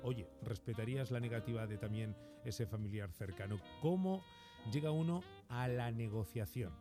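A man's voice: mid-range voice, normal speed. A man hosting a late-night radio call-in show, chatting conversationally and putting questions to his listeners. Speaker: radio host. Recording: music bed behind.

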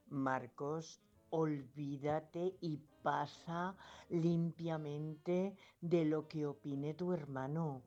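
A grandmother in her fifties from La Mancha, with a Spanish accent, phones into a radio show to give earnest, insistent advice. Her voice is low and mellow, and the line sounds dull and lo-fi with faint music underneath. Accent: Spanish from Spain. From La Mancha, Spain. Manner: earnest, insistent, repeats herself, many hesitations. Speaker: radio caller.

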